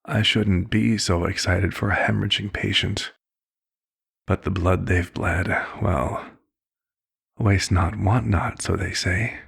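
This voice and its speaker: Man playing a gravelly, low-voiced, compassionate vampire doctor. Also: steady tone, a bit bright, heavily acted. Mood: persuasive, reassuring. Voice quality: husky, gravelly. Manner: measured, slightly formal, old-fashioned phrasing. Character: old, compassionate male vampire doctor, gently paternal and measured. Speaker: ASMR roleplay performer. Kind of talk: ASMR roleplay monologue.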